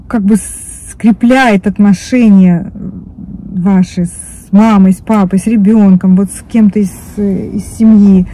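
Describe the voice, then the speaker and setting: A woman's voice: medium pitch, warm tone, rough recording. A woman in her fifties chatting casually to camera from a parked car, rambling with many restarts and fillers. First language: Russian. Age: 50s. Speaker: vlogger. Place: parked car, indoors of vehicle. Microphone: phone camera on dashboard.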